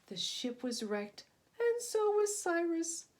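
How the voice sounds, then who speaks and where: A woman reading a children's story aloud in an expressive, emphatic style, moving between hushed and booming delivery. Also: slow pace. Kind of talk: expressive scripted storybook narration.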